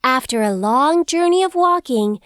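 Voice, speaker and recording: A woman narrating a scripted children's fairy tale in an expressive storybook manner. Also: high voice, moderate pace. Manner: expressive, clearly paced storybook delivery.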